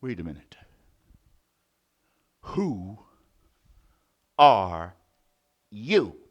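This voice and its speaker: A man doing a gruff, booming storybook lion. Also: very animated, very slow, exaggerated voice. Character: gruff big male lion, bossy.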